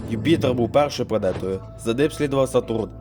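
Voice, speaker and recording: A man playing a calm storyteller in a cartoon, explaining planetary history in a measured, scripted way. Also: mid-range voice, voice pushed down, natural voice. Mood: explanatory. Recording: music behind the voice.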